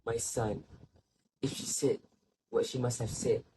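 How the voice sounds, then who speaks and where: A man playing a sorrowful, reflective old grandfather in a stage play, speaking in a slow, solemn, literary way. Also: mid-range voice, fairly even intonation, close to an everyday voice. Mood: hushed, sorrowful. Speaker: student actor. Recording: faint background noise.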